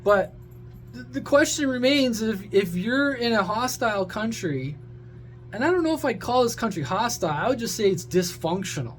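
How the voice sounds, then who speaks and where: A man's voice: high voice, expressive, moderate pace, soft background music. A man giving a casual, opinionated rant to his viewers, blunt, sarcastic, crude and exasperated. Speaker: social media creator and CB radio hobbyist.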